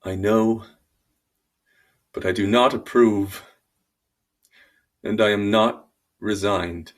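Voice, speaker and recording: Male poetry reader: deep, unhurried, grave and deliberate delivery. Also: fairly even intonation. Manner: solemn, deliberate. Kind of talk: dramatic poetry reading.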